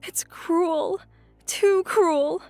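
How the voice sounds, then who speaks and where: A woman's voice: very high-pitched, steady tone, extremely slow, a little mellow, no echo, faint music behind, heavily acted. A woman playing a sorrowful, withdrawn young girl, brooding in an anime-style audio drama inner monologue. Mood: anguished. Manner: brooding, introspective.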